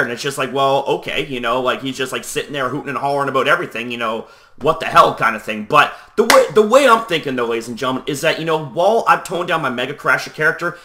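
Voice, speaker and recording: A young man talks to his viewers in a relaxed, rambling way, holding his voice down to a controlled level. His tone is bright and forward, and his pitch sits a little high for a man. He fills his sentences with "you know" and "like". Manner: loose and chatty, heavy on "you know"; talking at a deliberately toned-down volume. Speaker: YouTube host. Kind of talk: casual talk to camera.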